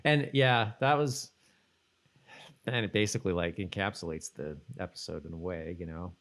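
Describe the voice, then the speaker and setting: A man's voice: deep voice, moderate pace, a bit bright. Casual, rambling male podcast co-host. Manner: informal, rambling, slangy. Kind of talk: casual TV episode recap chat.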